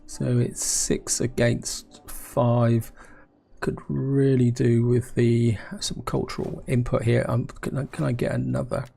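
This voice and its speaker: A hoarse man with a cold, musing aloud as he plays a strategy game, often asking himself questions and speaking to his viewers. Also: medium pitch, little pitch movement, slow, mellow. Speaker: gameplay commentator.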